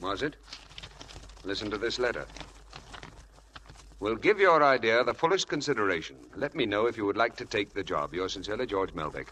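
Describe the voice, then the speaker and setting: An actor playing a charming, feckless young husband in a 1940s radio drama, flippant, glib and coaxing. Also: male voice, on the low side, animated, a little fast, pauses often, sharp tone. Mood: smug. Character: charming, feckless spendthrift husband, breezy and evasive. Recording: hissy old radio broadcast.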